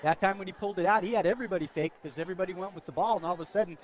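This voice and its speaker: American male color analyst, high-pitched and brisk, conversational football talk, somewhat dull lo-fi radio sound. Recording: dull, lo-fi outdoor radio broadcast.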